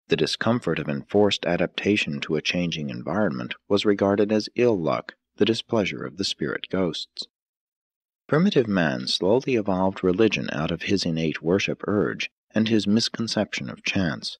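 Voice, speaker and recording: Deep, husky male audiobook narrator. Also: dry recording. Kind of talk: scripted narration, audiobook reading.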